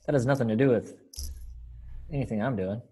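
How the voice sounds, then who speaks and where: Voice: mid-range voice, a little fast, dark tone, faint music behind. An upbeat, encouraging person chatting casually while organizing a group rehearsal over a video call. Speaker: event organizer running a readers theater rehearsal.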